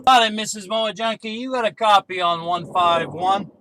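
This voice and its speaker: A man's voice: high voice, moderate pace, background noise. A man talking casually as a CB radio operator, making brief two-way range-check calls.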